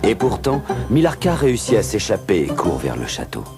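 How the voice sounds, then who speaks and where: A man's voice: lowish voice, huge pitch swings, a little fast. A man narrating a cartoon recap in a dramatic, questioning tone. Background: music.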